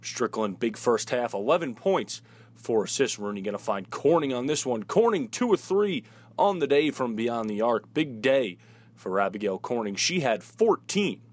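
A man announcing sports highlights in a play-by-play commentary style. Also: expressive, moderate pace.

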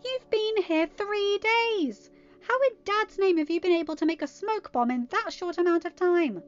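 A woman acts out a feisty, sarcastic teenage girl from a storybook, exasperated and emphatic. The voice leaps high above ordinary narration, on slightly muffled audio. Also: lively intonation, normal speed. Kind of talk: amateur story reading with dialogue. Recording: dull lo-fi audio, music behind.

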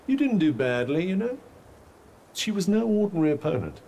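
An urbane talking relic from a fantasy game. His pitch sits a little high and swings in lively curves, and he speaks with arch formality and dry, teasing wit over some background noise. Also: brisk. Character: urbane, dry-witted talking magical cuff, male companion. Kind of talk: video game voice acting.

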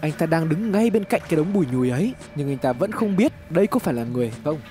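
A man's voice: fairly high pitch, big pitch swings, fast, dark tone. A man reads scripted horror story narration in a dramatic, suspenseful storytelling manner. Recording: music behind the voice. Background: background music.

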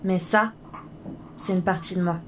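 A woman playing a gentle, nostalgic mother in a French audio drama, speaking earnestly. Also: fairly low pitch, fairly even intonation, a little slow, a little hiss or hum, lightly acted. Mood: tender.

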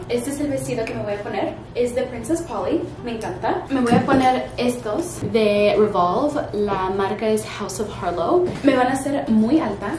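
A woman's voice: a little high, mellow. Chatty young woman in a roomy room. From Canada. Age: young adult. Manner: chatty, pauses to search for words and corrects herself. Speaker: vlogger. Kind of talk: casual get-ready-with-me chat to camera. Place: hotel room, indoors. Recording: roomy room with music underneath.

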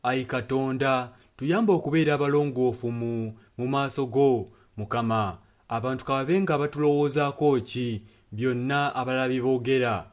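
A man's voice: medium pitch, moderate pace, hardly any pauses. A man interpreting a sermon and prayer solemnly, phrase by phrase. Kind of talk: consecutive translation of a sermon and prayer.

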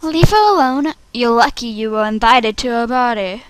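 A woman playing an outspoken schoolgirl from an animated series in short, dramatic readings. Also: a little high, moderate pace, clean tone, no echo, lightly acted. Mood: annoyed.

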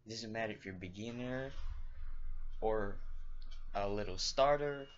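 A young man with a Filipino accent, talking casually to camera in a small room, halting and rambling with lots of fillers. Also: on the low side, lo-fi sound. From Philippines. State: feeling unwell, voice off. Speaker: vlogger.

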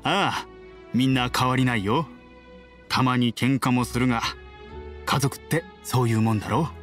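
A man playing a kind, steady middle-aged villager in an anime game, calm and neighbourly. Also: mid-range voice, moderate pace, faint music behind, natural voice. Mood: calm, caring. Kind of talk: Japanese anime game voice acting.

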